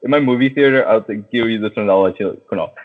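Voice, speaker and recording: Phone-thin, excitable young man chatting. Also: fairly low pitch, quick pace. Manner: excitable, slangy, heavy swearing. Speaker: podcast co-host. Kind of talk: casual chat about a film. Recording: thin, phone-like band-limited audio.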